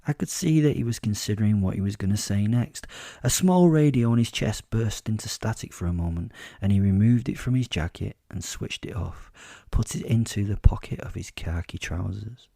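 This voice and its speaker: Male narrator, wry werewolf storyteller for audio drama. Mellow, lowish voice, measured and pause-heavy, dry recording. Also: animated, gravelly, no echo. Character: werewolf telling his life story, wry and boastful. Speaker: narrator and host of a fiction podcast.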